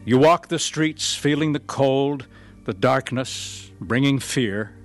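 A man announcing and narrating a radio drama in a measured, earnest broadcast style. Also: mid-range voice.

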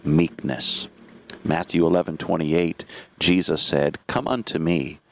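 A man with a deep voice reads a scripted scripture devotional. His tone is gravelly, with heavy vocal fry. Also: little pitch movement, measured pace, dry recording.

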